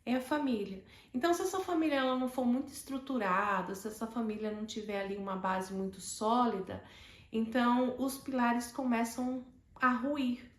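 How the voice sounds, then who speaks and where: A woman's voice: fairly high pitch, moderate pace, echoey room. A woman from Northeast Brazil with a Northeastern Brazilian accent, telling a personal story to camera with many fillers and restarts. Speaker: host.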